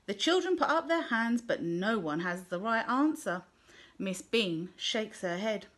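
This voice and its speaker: A woman reading a children's picture book aloud in a gentle, clear storytelling manner. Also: medium pitch, expressive, unhurried. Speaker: storybook reader.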